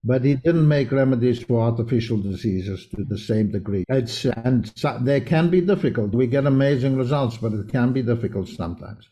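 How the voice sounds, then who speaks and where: Male interview guest: mellow, warm tone, earnest explainer, loose rambling delivery. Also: medium pitch, fairly quick, hardly any pauses, rough recording. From South Africa, grew up in Israel. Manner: earnest, explanatory, restarts his sentences. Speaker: homeopath guest. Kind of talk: casual interview talk.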